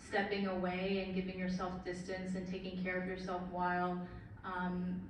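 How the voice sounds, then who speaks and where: A woman panelist speaking in a searching, halting way, with many fillers. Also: fairly low pitch, monotone, a little hiss or hum. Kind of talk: thoughtful panel talk. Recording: echoey hall, recorded from far off.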